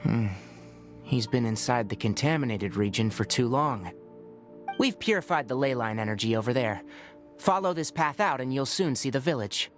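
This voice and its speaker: A man voicing a composed forest ranger in a game, calm, clinical and commanding. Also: mid-range voice, moderate pace, faint music behind. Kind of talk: game voice acting.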